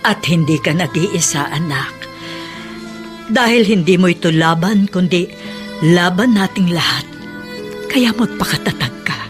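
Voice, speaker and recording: A woman's voice: on the low side, measured pace, long pauses, faint music behind, close to an everyday voice. Tender, caring mother, as an actress plays her. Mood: encouraging. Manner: tender, encouraging. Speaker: Jester's mother.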